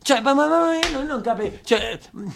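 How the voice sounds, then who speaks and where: A man's voice: very high-pitched, animated, moderate pace, vocal fry, a bit bright, a little hiss or hum. A man from Apulia with a southern Italian accent, delivering a theatrical monologue in an emphatic, histrionic manner, repeating words for effect. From Apulia, southern Italy. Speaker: stage actor and monologist.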